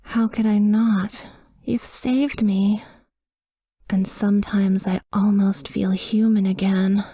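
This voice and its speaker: A woman playing a tender, tormented vampire lover in a slow, breathy, whispered ASMR roleplay, hushed and intimate. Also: medium pitch, little pitch movement, no room sound, clean studio sound. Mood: loving, melancholy. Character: tender, tormented female vampire lover watching over her sleeping partner.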